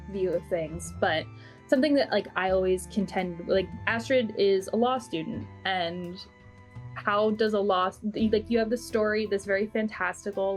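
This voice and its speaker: Woman, medium pitch, casual group chat, reflective, searching for words, faint guitar music underneath.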